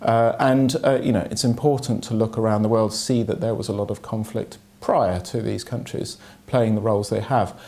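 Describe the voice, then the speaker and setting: A man gives measured, academic analysis. He pauses often to search for words and speaks at a steady level. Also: lowish voice. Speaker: political commentator. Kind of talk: analytical commentary.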